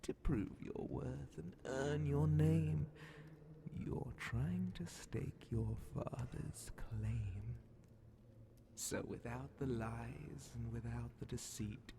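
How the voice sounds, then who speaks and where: A man voicing a sly, menacing demon Grand Vizier of hell in a breathy, theatrical half-whisper for an ASMR horror fantasy roleplay. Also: mid-range voice, noisy background. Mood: suspicious, probing. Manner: slow, theatrical, drawn-out, mockingly polite.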